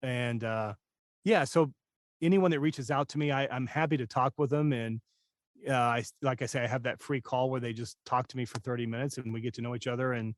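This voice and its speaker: A man's voice: medium pitch, dry recording. A man talking casually as a guest voice-over coach, candid and self-deprecating as he shares anecdotes. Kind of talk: casual conversational interview talk.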